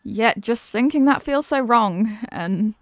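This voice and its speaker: A woman playing a menacing, mocking demon villainess in a fantasy audio roleplay, theatrical and taunting, with deliberate dramatic pauses. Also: on the high side, lively intonation, no room sound, clean studio sound. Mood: tormented. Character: possessing demon villainess, menacing, mocking, tormented by borrowed love.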